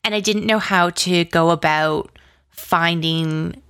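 A woman's voice: lowish voice, slow pace, fairly bright tone, smooth, dry recording, clean studio sound. A woman speaking casually as an interview guest, in informal, hesitant phrasing peppered with "like". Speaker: guest, audio drama co-creator and writer.

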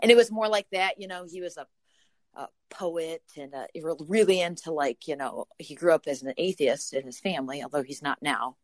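Podcast host, woman, Midwestern accent, lowish voice, chatty and laughing, dry close mic. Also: a little fast, no echo. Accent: Midwestern American. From Ohio, USA. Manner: chatty, laughs often, many fillers. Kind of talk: casual conversational interview.

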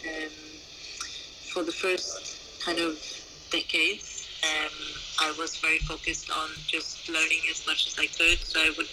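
Female interview guest from Norway, unscripted and pausing often, recorded over noticeable ambient noise. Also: sits low, completely flat delivery. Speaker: interview guest, bar owner. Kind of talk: casual interview answers. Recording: clearly noisy, background ambience. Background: background noise of an open bar.

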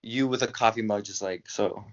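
A man's voice: on the low side, steady tone, moderate pace, highs cut off. A man in his early twenties chatting casually as a podcast guest, telling stories in slangy, exclamatory bursts. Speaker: podcast guest, jiu-jitsu athlete. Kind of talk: casual chat.

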